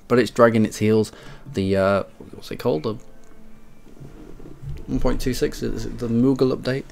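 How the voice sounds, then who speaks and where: A man with a head cold streaming a strategy game, rambling and correcting himself, often clearing his throat. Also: medium pitch, fairly even intonation, measured pace, long pauses, a little mellow, a little hiss or hum. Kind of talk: casual game commentary.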